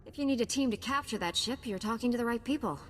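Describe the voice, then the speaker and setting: A woman's voice: on the high side, quick pace, slight background noise, lightly acted. A woman playing a confident, capable female Jedi knight in a video game, speaking in an assured, direct way. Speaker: player hero character in a video game. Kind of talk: scripted game dialogue.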